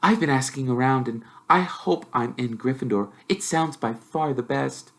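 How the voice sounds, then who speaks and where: A man voicing a bossy, know-it-all young girl witch who chatters in a prim, brisk, self-assured way. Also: mid-range voice, lightly acted. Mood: bossy, chatty.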